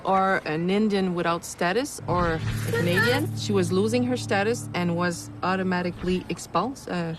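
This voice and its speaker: A woman with a lowish voice explains something seriously in an interview. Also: animated, moderate pace, few pauses.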